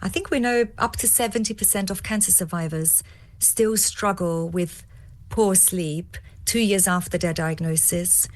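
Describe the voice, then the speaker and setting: A woman with an Austrian German accent speaks at a mid-range pitch as an interview guest. She sounds keen and explanatory and praises ideas as brilliant. Her talk is informative and steady. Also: unhurried. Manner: enthusiastic, explanatory, fond of "brilliant". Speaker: guest, founder of a support organisation.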